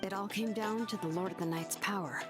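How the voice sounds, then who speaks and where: An actress voicing a calm, matter-of-fact woman engraver in a game, over loud music. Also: medium pitch, brisk, natural voice. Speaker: name engraver.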